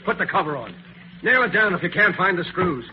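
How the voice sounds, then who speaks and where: A man's voice: high-pitched, lively intonation, a little fast. An actor in an old radio drama playing a ruthless gang boss who orders his henchmen around. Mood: gloating, commanding. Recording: muffled, noisy old radio recording.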